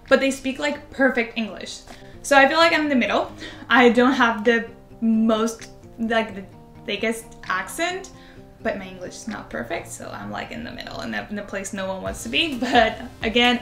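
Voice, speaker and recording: A woman in her twenties with a Venezuelan Spanish accent rambles casually to camera, filling her talk with "like". Her voice is bright and clear. The room echoes a little, with faint music underneath. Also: on the high side. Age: 20s. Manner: chatty, rambling, heavy use of "like". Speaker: vlogger.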